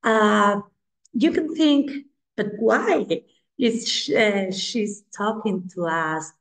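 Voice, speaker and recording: Slow, halting Spanish-accented woman. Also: mid-range voice. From Spain. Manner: halting, repeats herself, friendly and chatty. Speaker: language school co-founder presenting a webinar. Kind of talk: online presentation. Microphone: video call.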